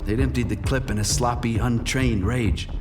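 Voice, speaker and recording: An actor playing a wounded, proud and desperate drug dealer in a dramatic first-person noir crime audio drama. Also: male voice, medium pitch, fairly even intonation, moderate pace, recorded from a distance, close to an everyday voice. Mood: grim, tense. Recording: studio voice under prominent music.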